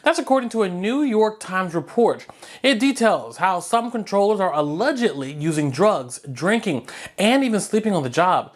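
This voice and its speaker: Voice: mid-range voice, animated, moderate pace. American news anchor reading a bulletin. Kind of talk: scripted news bulletin narration.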